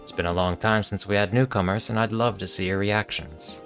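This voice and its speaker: A man with a fairly low voice reads out video game dialogue like a streamer. His delivery is monotonous and informal, and faint music plays behind him. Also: a little fast. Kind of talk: read-aloud of video game dialogue with casual asides.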